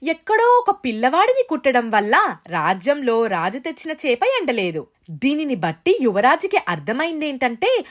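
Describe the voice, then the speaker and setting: Casting: female narrator for children's stories, high-pitched and highly animated delivery. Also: normal speed. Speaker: storyteller. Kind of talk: scripted children's story narration.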